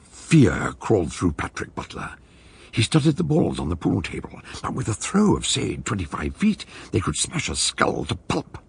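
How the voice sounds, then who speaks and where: A British man narrates a radio thriller. His voice is deep and creaky with a heavy huskiness, and he reads the script with dramatic variety. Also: huge pitch swings, normal speed. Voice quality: gravelly, husky.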